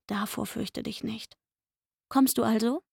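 An actress playing a pleading young chambermaid in a radio play, speaking intimately and wistfully. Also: female voice, a little high, steady tone, a little slow, gravelly, darkish tone, husky, no echo, clean studio sound, heavily acted. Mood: coaxing, pleading. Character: pleading young chambermaid luring a man into secret shelter. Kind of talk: literary radio play acting.

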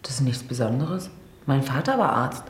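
A woman's voice: extremely low pitch, lively intonation, normal speed, echoey room. An actress playing a troubled woman writer in a radio drama, articulate, guarded and disillusioned about love. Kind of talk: naturalistic radio drama acting.

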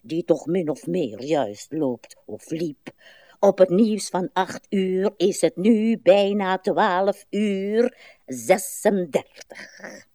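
An actress playing a weary, morbid, rambling old woman in a radio play, with slow, plaintive delivery. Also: fairly low pitch, dark tone, dry recording, clean studio sound. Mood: reminiscing, rambling. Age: older.

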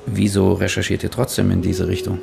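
Low, gravelly, curious male reporter. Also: flat delivery, fairly quick, over loud music. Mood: curious, teasing. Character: Frank, visiting male reporter, curious, probing, teasing. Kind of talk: conversational radio drama acting.

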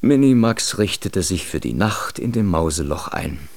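A man's voice: low-pitched, sing-song, normal speed, a little mellow. A man narrating a children's radio play in a scripted storytelling style.

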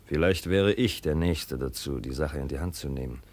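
An actor in a German radio play playing a middle-aged village farmer, hearty and calculating, with a deliberate manner. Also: male voice, very deep voice, darkish tone. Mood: scheming, subdued.

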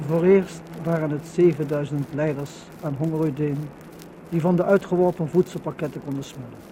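An actor playing an earnest chronicler of the liberation, speaking formally and dramatically in a 1950s Dutch radio docudrama style. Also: male voice, on the high side, fairly even intonation, fairly quick, natural voice. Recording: noisy 1950 radio recording.

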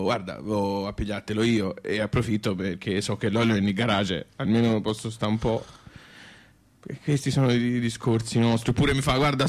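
An actor playing a weary, put-upon, plaintive man in a radio play, talking in a halting, colloquial way with a Tuscan Italian accent. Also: mid-range voice, natural voice. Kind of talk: theatrical radio drama dialogue.

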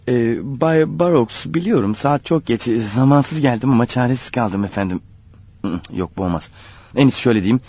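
A man's voice: medium pitch, darkish tone, husky. An actor in a radio play voicing a desperate, nervous man turned amateur burglar, hesitantly talking to himself. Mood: rehearsed pleading. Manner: hesitant, muttering to himself, rehearsing and exclaiming. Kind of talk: radio play monologue, thinking aloud. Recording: dull lo-fi radio drama over music.

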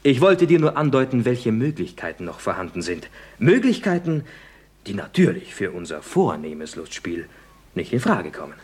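Young male playwright, radio comedy, swooping intonation, slightly husky, ironic, mock-courteous. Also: fairly low pitch, sing-song, normal speed, a little mellow. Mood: teasing, ironic. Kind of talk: German radio comedy acting.

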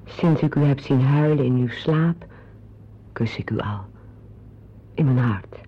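Slow, breathy, tender voice. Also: male voice, mid-range voice. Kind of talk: intimate radio drama acting. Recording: dull lo-fi radio play recording.

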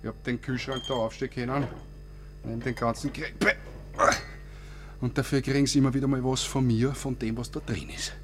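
A man's voice: mid-range voice, steady tone, a little fast, slight background noise, close to an everyday voice. An actor playing a breezy, shady man with an Austrian accent, colloquial and bossy, in a naturalistic radio drama. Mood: smug, breezy. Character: breezy, shady Austrian man, Mike, showing off his scheme.

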